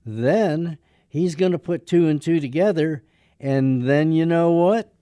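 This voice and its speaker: An actor playing a gloomy, soft-hearted young man who is cowed by his father, speaking hesitantly in a radio drama. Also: fairly high pitch, measured pace, dry recording, studio quality, natural voice. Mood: gloomy, resigned.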